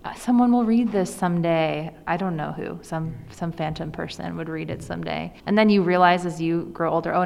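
An American woman chats casually as an interviewed author. Her voice is fairly low, with marked vocal fry but a smooth tone. The room adds a long reverb. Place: indoors at a school.

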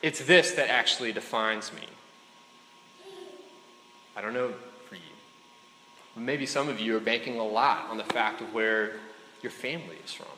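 A man's voice: lowish voice, fairly even intonation, moderate pace, pauses often, slight background noise. Chatty preacher man in an echoey hall. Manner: chatty, keeps checking in with "right?" and "okay?"; joking asides to the crowd. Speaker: speaker addressing students. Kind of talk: casual conversational sermon. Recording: echoey hall with faint room ambience.

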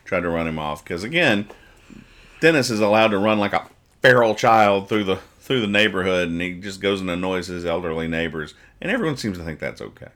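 A man hosting a podcast, giving casual comic commentary with mock-serious, exasperated deadpan nitpicking. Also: low voice, a little fast. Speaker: podcast host. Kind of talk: comedic casual commentary reading comic strips aloud.

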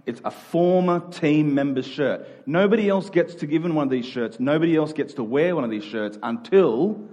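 Australian pastor, measured and deliberate. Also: male voice, fairly high pitch, darkish tone. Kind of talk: sermon with scripture reading and prayer.

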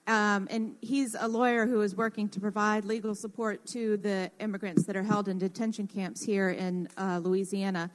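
A woman with a medium-pitched voice speaks as a panelist in an informal radio discussion. She is earnest and explanatory, pausing and picking her way through her points. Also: steady tone. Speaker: radio panelist who works in construction.

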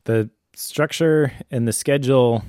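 Dry-sounding, mid-pitched man podcast host. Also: unhurried, a little mellow, dry recording. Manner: earnest, explanatory. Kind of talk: conversational advice podcast.

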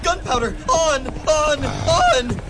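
An actor playing a terrified schoolmaster fleeing on horseback, crying out in shrill, stammering panic in a radio play. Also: male voice, slow, heavy background noise. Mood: panicked. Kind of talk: community radio play acting.

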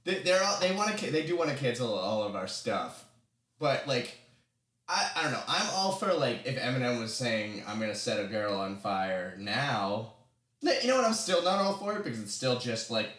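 A man co-hosting a podcast in casual chat, halting and repeating words as he goes, with casual swearing. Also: mid-range voice, big pitch swings, fairly bright tone. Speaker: podcast co-host. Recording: roomy, echoey sound.

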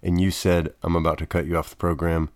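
A man acting as the intern and sidekick on a comedy podcast, speaking in a meek, deadpan, mildly aggrieved way. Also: deep voice, fast, no echo, clean studio sound.

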